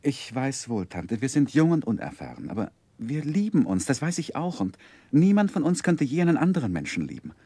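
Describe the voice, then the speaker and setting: An actor playing an earnest, sensitive young gentleman who narrates his life in a 1960s German radio play, measured and heartfelt. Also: medium pitch, sing-song, breathy edge, no room sound. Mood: ardent, earnest. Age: young adult.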